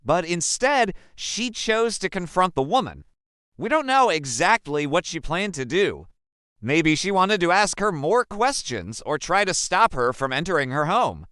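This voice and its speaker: A man giving scripted, measured narration as a commentator. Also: high-pitched, sing-song, a bit bright, clear, resonant tone, dry recording, studio quality.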